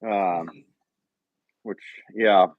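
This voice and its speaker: A man's voice: deep voice, fairly even intonation, extremely slow, no echo, narrow, tinny audio. A man from Connecticut hosting a casual live-stream podcast, rambling with lots of fillers and frequent laughter. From Connecticut, USA. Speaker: podcast host.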